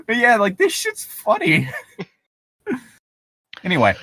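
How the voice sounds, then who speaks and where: A man co-hosting a podcast, chatting casually and reading aloud, swearing freely in a relaxed conversational way. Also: very high voice, slow, no room sound, studio quality. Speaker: podcast co-host. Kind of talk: casual banter and creepypasta reading.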